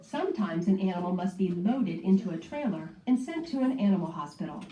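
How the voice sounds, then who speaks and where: A woman's voice: on the low side, animated, brisk, mellow. A woman narrator carefully reading a scripted educational text for children. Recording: muffled playback in echoey room, distant.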